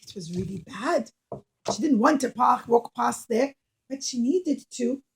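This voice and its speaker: A woman with a high voice tells a children's puppet story. She speaks in simple, expressive phrases and encourages her young listeners like a teacher. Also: a little slow.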